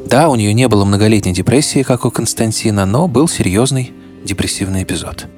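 A man's voice: fairly low pitch, fairly quick, a little mellow. A man hosting a podcast, narrating in a conversational, wry and colloquial way, speaking straight to the listener. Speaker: podcast host. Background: background music.

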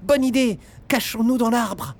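A woman playing a playful, impatient gust of wind in an audio fiction, with an expressive, theatrical delivery. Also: on the high side, a little slow. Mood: cheeky. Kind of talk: French audio fiction acting.

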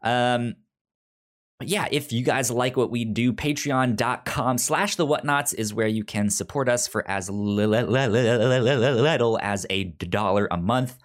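A man's voice: medium pitch, a little slow. A man hosting a casual podcast, talking loosely with many fillers and frequent stuttered repeats of opening sounds. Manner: filler-heavy, relaxed. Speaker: podcast host.

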